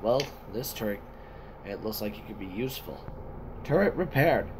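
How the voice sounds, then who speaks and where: A man voicing an excitable soldier commander in amateur sci-fi video-game voice lines, giving urgent, halting battle callouts. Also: lowish voice, noisy background, voice pushed down, close to an everyday voice. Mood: brisk, urgent.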